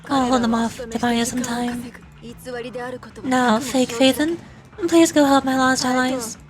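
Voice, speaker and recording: A woman's voice: high-pitched, steady tone, measured pace. A woman playing a stern, defiant soldier in a video game, with a terse, commanding delivery. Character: defiant, stern female soldier protecting allies.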